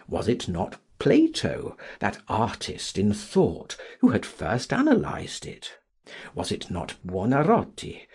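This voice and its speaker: Guy doing dramatic audiobook narration, slow n husky, very theatrical. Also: on the low side. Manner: theatrical, expressive, deliberate. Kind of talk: dramatic scripted literary narration.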